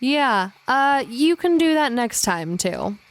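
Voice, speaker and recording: A woman's voice: high voice, sing-song, takes it slowly, slight background noise, full character voice. An actress playing a dry, wary female adventurer in a fantasy audio drama. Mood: amused.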